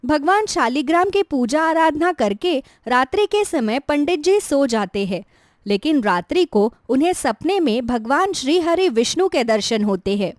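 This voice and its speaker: Female storyteller for animated moral tales, high lively voice, scripted devotional narration. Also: moderate pace, no room sound, studio quality.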